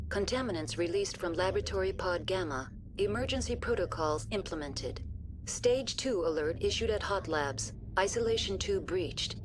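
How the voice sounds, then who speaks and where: A woman's voice: mid-range voice, background noise, close to an everyday voice. A woman voicing a polite, unemotional female computer intelligence in a video game, speaking in formal, procedural system announcements. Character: polite, unemotional female AI running a research station. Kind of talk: scripted video game dialogue.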